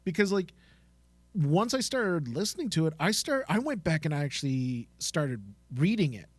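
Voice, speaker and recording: Higher-voiced man, enthusiastic podcast chat. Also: sing-song, moderate pace, dry recording. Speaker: podcast co-host. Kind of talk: casual podcast discussion.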